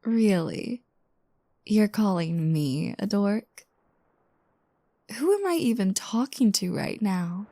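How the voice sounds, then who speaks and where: A woman playing a playful, teasing new girlfriend just waking up, speaking softly and intimately in a roleplay audio. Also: mid-range voice, expressive, no room sound. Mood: mock bossy, teasing. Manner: soft, breathy asides, teasing, unhurried.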